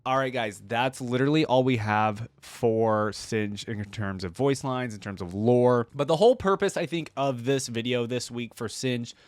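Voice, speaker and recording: A man's voice: mid-range voice, moderate pace, hardly any pauses, dry recording. A young man hosting a casual reaction video, talking in a rambling, emphatic, chatty way. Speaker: YouTube reaction host.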